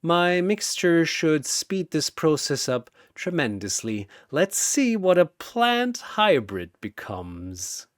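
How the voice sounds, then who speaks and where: Male narrator as mad scientist villain for battle story: clinical, pompous, savoring each word slowly, very dry. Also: fairly high pitch, big pitch swings, no echo, pitched above the performer's own voice. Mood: cold fascination.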